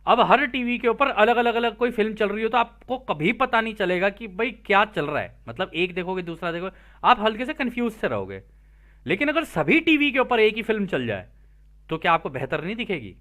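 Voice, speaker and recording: Bright-voiced, animated male spiritual teacher. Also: high voice, fairly quick. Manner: conversational, checks in with listeners using rhetorical questions. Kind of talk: reading aloud and explaining informally.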